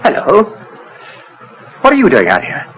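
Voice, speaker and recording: An actor with a British accent playing an irritable, defiant, hard-drinking husband in a 1940s radio drama, blustering and clipped. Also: male voice, high voice, huge pitch swings, unhurried, a bit bright, husky, full character voice. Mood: uneasy. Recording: noisy 1940s radio recording.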